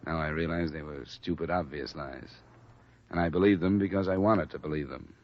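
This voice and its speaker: A man's voice: rumbling low, a little fast. A man playing a stern, world-weary insurance investigator with an American accent and clipped, pointed delivery, in a 1950s radio detective drama. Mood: hurt, accusing. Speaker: lead actor and narrator. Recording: muffled, hissy vintage radio recording.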